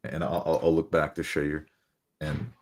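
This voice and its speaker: A man playing a tabletop game, describing his character's actions in a halting, casual way. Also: very deep voice, little pitch movement.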